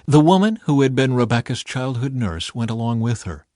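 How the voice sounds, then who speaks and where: A man reading scripture as a scripted audiobook narration, with measured, dramatized storytelling delivery. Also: mid-range voice, lively intonation, darkish tone, no echo, studio quality. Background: background music.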